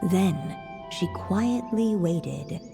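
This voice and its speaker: A woman playing an unseen, poised fairy-tale narrator in a video game, measured and theatrical with a knowing tease. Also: fairly low pitch, animated, creaky, full character voice. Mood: storytelling. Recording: long reverb, music behind.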